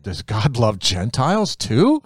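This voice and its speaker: A man's voice: mid-range voice, a little slow, breathy edge, no echo, clean studio sound. Emphatic man teaching Scripture. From America. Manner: animated, emphatic, repeats phrases for effect. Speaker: Bible teacher. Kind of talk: conversational Bible teaching.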